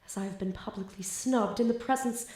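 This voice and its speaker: A woman's voice: mid-range voice, brisk, a little mellow, lightly acted. Melodramatic young student, played by a woman, roomy echo. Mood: grandiose, dramatic. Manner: grandiose theatrical diction. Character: flustered lovestruck student, melodramatic and bookish. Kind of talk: student audio drama acting with soliloquies.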